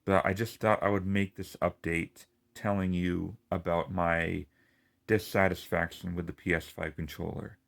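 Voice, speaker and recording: A man's voice: sits low, level tone, normal speed, creaky, fairly bright tone. A man with a lisp ranting casually and in frustration to his video audience, rambling and swearing as he talks. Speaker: gaming video creator.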